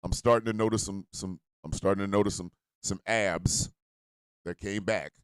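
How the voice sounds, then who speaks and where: A man hosting an internet radio show and doing comedic ad reads in a loud, rambling, jokey way. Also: low-pitched, fairly even intonation, normal speed, clear, resonant tone, no room sound.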